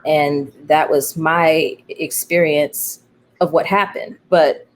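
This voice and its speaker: A woman playwright speaking as an interview guest, telling her story in a candid, thoughtful, halting way. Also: sits low, steady tone, a little hiss or hum. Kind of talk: reflective conversational storytelling.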